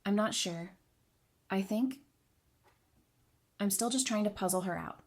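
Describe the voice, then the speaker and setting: A woman's voice: medium pitch, a little slow. Actress as thoughtful, candid woman advisor. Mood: thoughtful, guarded. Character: perceptive, candid woman advisor who reads people. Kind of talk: fan audio drama dialogue.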